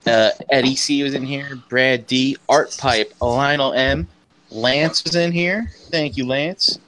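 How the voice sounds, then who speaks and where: A man's voice: mid-range voice, steady tone, slow pace, a bit bright, rough recording. A man co-hosting a casual podcast, reading out viewer names in a laid-back way. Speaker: podcast co-host.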